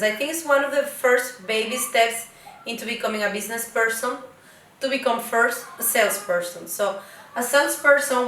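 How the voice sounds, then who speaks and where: Bright-voiced woman host, echoey room. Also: fairly high pitch, slight background noise. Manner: chatty, guiding questions. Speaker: host, interviewer. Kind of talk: casual interview chat. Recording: echoey room, distant mic.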